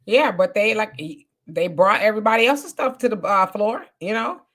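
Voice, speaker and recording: A woman hosting a casual livestream, reading viewer comments aloud and talking back with lively, mocking asides. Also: medium pitch, moderate pace. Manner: animated, mocking voices when quoting others.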